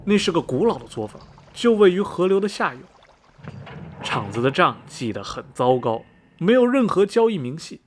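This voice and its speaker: A man acting a gossipy small-town local in a radio play. His voice sits fairly high and swoops around vividly as he spins superstitious rumours, peppered with folksy interjections. There is a little hiss on the recording. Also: moderate pace. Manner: folksy, animated storytelling full of interjections. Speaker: actor in an amateur student radio drama.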